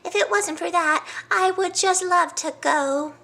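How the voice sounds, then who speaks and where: A woman's voice: extremely high pitch, unhurried, vocal fry, bright, forceful tone, pitched above the performer's own voice, exaggerated voice. A woman voicing a leopard who evades the trip with sugary excuses. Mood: evasive. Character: sly, excuse-making leopard.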